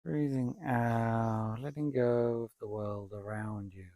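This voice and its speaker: A man leading a guided meditation, speaking calmly and deliberately in short phrases with long pauses between them. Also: medium pitch, no echo, studio quality. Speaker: meditation teacher, Buddhist monk.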